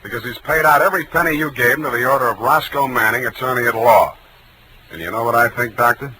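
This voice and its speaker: A man's voice: mid-range voice, quick pace, bright, forceful tone, a little hiss or hum, natural voice, low-quality recording. An American man playing a wry, hard-boiled private detective in 1940s radio style, with a dry, wisecracking delivery. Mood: probing, skeptical. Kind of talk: 1940s radio acting with first-person narration. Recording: old 1940s radio recording, music behind.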